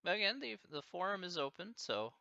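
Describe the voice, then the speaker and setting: Rambling Midwestern man, bright and lively. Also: sits high, moderate pace, gravelly, no room sound, highs cut off. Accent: Midwestern American. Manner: rambling, filler-heavy, thinking aloud. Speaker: math instructor. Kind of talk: casual Q&A with online class.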